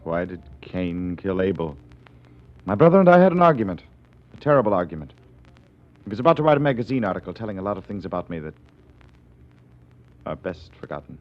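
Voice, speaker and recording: An old, muffled radio drama recording of a bitter murderer. The low voice swings dramatically, grave and menacing, with cold regret underneath. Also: male voice, sing-song, a little fast, long pauses. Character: cornered, bitter male murderer, coldly regretful. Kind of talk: 1940s radio mystery acting.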